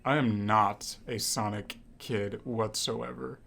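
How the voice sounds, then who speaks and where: A man's voice: on the low side, steady tone, takes it slowly, gravelly, a bit bright. A young man co-hosting a podcast in casual, wry banter with a mock-dramatic streak. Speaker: podcast co-host.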